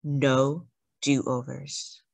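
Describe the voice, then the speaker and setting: A woman reading a children's picture book aloud as a storyteller, with deliberate, dramatic emphasis on key words. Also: extremely low pitch, little pitch movement, gravelly, darkish tone, no echo. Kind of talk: expressive read-aloud narration for children.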